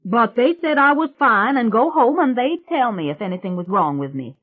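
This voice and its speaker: A woman voicing a brash young country woman who chatters loudly and gushes. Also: fairly high pitch, animated, voice pushed up. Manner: loud, gushing, rapid chatter. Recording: tinny phone-like sound.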